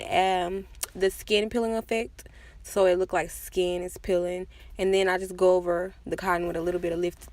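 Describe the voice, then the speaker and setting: A woman's voice: mid-range voice, dry recording. A woman giving a casual, chatty makeup tutorial voice-over with self-deprecating asides. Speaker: makeup vlogger.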